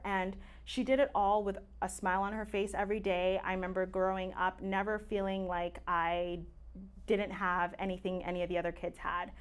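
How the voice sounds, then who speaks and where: A woman's voice: mid-range voice, smooth. Chatty young woman with Swahili roots. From Tanzania. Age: late 20s. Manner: chatty, many fillers, laughs often. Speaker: guest. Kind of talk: casual interview chat.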